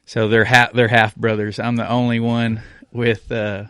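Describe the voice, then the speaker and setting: A young man speaks as a podcast guest in a smooth voice that stays flat in pitch. He talks plainly and easily, pausing on fillers. The sound is dry and close. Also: no room sound. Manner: laid-back, plainspoken, hesitant fillers. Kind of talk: casual interview chat. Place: podcast studio.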